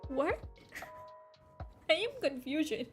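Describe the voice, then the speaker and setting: Young woman streamer, hindi is her first language, high voice, laughs a lot, blunt and kinda incredulous. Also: drawn-out words, soft background music. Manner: animated, incredulous, laughs often, blunt with swearing. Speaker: VTuber streamer. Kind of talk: reading and reacting to online posts on a live stream.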